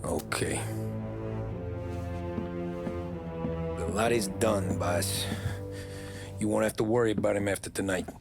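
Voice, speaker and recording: A man's voice: on the low side, normal speed, gravelly, music in the background. A man playing a cautious, businesslike mafia soldier with a dry, cool manner in a game cutscene. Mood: calm, resolute. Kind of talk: dramatic video game cutscene acting.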